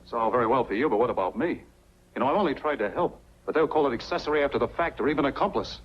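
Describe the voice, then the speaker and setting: An actor playing a calculating, persuasive accomplice in a 1940s film noir style. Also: male voice, mid-range voice, expressive, racing, slight background noise, lightly acted. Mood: urgent persuading. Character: calculating male accomplice, persuasive and self-protective. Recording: muffled 1940s film soundtrack.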